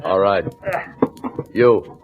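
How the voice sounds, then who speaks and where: A man's voice: on the low side, extremely slow. A man playing a sarcastic, bossy police lieutenant in a 1940s radio crime drama, clipped and commanding. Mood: bossy, impatient. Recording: muffled vintage radio recording, narrow phone-like band.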